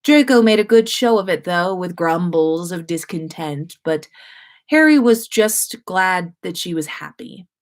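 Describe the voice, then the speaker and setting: Slow-paced woman reading a story aloud. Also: on the low side, animated. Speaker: podcast host reading listener fan fiction on a livestream. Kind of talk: expressive story narration.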